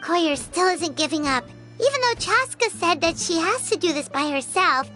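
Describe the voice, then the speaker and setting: A tiny female fairy sidekick from a video game. The voice is squeaky and childlike, pitched extremely high with very animated swings. She comes across as earnest and excitable, over prominent music. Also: normal speed, exaggerated voice. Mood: earnest, pondering. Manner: speaks of herself in third person. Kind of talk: cartoonish video game voice acting.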